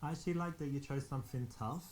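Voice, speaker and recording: A man's voice: medium pitch, fast, darkish tone, echoey room, slight background noise, close to an everyday voice. A man with a London English accent playing a cocky, boastful British flirt in a video game, teasing a woman and giving dating advice. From England. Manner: teasing, boastful, coaching asides.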